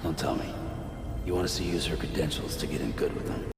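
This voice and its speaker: Very deep, gravelly loner courier. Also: male voice, steady tone, brisk, big-room sound, over loud music. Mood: wary, sardonic. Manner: terse, blunt, low-key delivery. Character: gruff, weary, guarded male lone courier. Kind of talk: cinematic video game acting.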